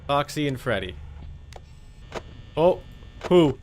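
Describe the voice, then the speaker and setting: A man's voice: on the high side, big pitch swings, slow pace, a little mellow, a little hiss or hum. A man doing casual, chatty live gameplay commentary as a streamer, playfully exasperated with his chat.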